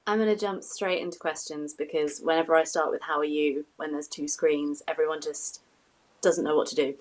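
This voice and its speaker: A woman hosts an arts interview on a video call. Her voice sits a little low, and she speaks as the presenter putting questions to guests. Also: fairly even intonation, fairly quick, low-quality recording. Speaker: host and interviewer. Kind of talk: live online arts interview.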